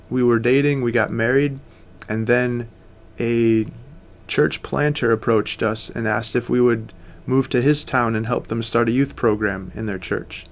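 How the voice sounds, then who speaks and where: A man's voice: mid-range voice, creaky. Earnest American man in his thirties. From United States. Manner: earnest, steady, with frequent "uh" fillers. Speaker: missionary guest. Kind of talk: casual interview talk.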